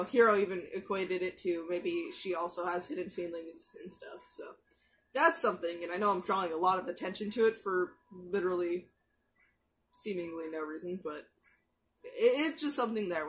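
A woman's voice: on the low side, rough recording. A young woman talks loosely and casually, piling up fillers and restarting her sentences as she goes. She sounds close to a headset microphone in a small bedroom. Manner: chatty, many fillers and restarts, quick asides. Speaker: anime reaction youtuber. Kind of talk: casual rambling commentary. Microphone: gaming headset mic.

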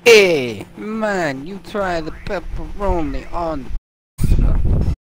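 A man's voice: high voice, takes it slowly, a little hiss or hum. A man doing a joking mock Italian-American guy. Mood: teasing.

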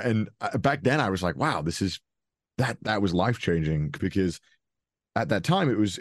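Casting: young Welsh man, very low voice, dry close sound, loose rambling podcast guest. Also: a little mellow, no echo. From Wales. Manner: rambling, frequent restarts and fillers, chuckles often. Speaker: interview guest. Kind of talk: casual interview.

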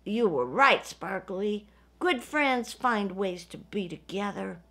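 A woman's voice: medium pitch, lively intonation, takes it slowly, fairly bright tone, heavily acted. A woman doing a sweet wistful bear cub. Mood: happy.

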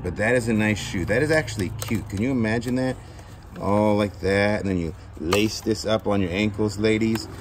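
A man's voice: fairly low pitch, moderate pace. A man chatting casually to viewers while unboxing shoes outdoors, filming first-person. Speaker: storage auction vlogger. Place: outdoors by a cardboard box. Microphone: handheld camera, first-person view. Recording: loud outdoor background noise.

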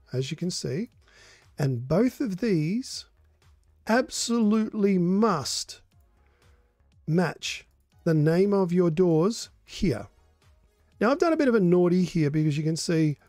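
An older man hosting a relaxed live modding tutorial, explaining code in a hoarse, coughing voice. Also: high voice, no room sound, studio quality. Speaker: modding stream host.

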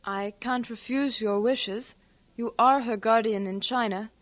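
An actress playing a calm, gracious missionary wife in an audio drama, polite, measured and gently firm. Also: female voice, a little high, close to an everyday voice.

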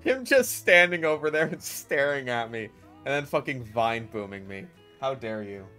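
A man giving joking gameplay commentary, sarcastic, swearing often, swinging between low deadpan mumbles and loud outbursts. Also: normal speed, soft background music. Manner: swears often, riffs on memes and cartoon bits. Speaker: gaming channel host.

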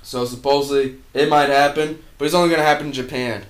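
Young man, from Puerto Rico, podcast co-host, casual chat, cuts in often, mid pitch, echoey room. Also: steady tone, fast. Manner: reads out news items, cuts in with "alright" and "sir".

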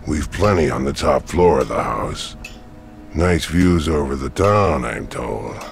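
A gruff small-town innkeeper from a video game, with an extremely deep voice. It crackles with gravel as he talks in a wary, rustic dialect. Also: expressive, full character voice. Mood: civil but ominous. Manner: slow rustic dialect, guarded. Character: gruff, wary small-town hotel keeper, grown man.

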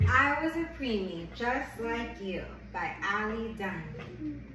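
A woman's voice: on the high side, lively intonation, slow pace. A woman reading and chatting to young children in an enthusiastic, encouraging manner, often repeating words for them. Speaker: host of a children's read-aloud chat. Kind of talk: picture-book read-aloud and chat for young children. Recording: echoey noisy room, distant mic.

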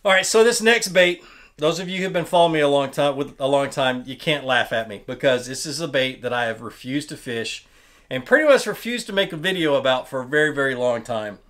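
A man in his thirties hosting a fishing channel, talking through his tackle in a relaxed, plainspoken way, often restarting words as he handles the gear. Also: mid-range voice, fairly quick. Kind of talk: casual tackle explainer. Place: small indoor studio with wooden wall.

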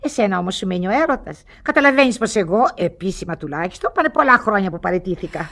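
An actress playing a worldly, bored aristocratic aunt nearing sixty, doting and sighing in an old radio play. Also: female voice, mid-range voice, lively intonation, vocal fry, fairly bright tone. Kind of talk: old Greek radio theatre acting.

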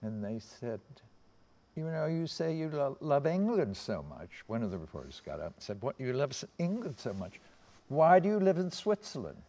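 An American man in his seventies reminiscing in anecdotes on a panel, haltingly, often trailing off and circling back. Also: medium pitch, very animated, warm tone. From United States. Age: 70s. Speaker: panel guest recalling personal memories. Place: seated on a theatre stage.

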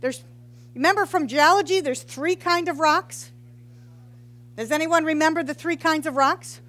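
Woman lecturing on geology, high voice, headset mic, big hall, chatty and joking. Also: moderate pace, a bit bright. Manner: informal, joking, quizzes the audience. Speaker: geology professor. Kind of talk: public science talk. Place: large hall with brick walls.

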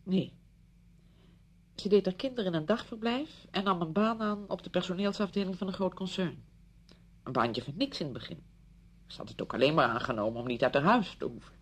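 A woman's voice: medium pitch, breathy edge. An actress playing a well-meaning, pushy woman friend in a radio play, insistent and easily defensive, full of practical advice. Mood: coaxing. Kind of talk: Dutch radio play acting.

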